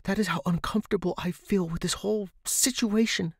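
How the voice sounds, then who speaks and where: A man's voice: high voice, steady tone, fairly quick, creaky, breathy edge, no echo, studio quality, pitched above the performer's own voice, full character voice. A man as a nervous, pleading young woman. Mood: uneasy pleading. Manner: pleading, complaining. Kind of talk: audio fiction character dialogue.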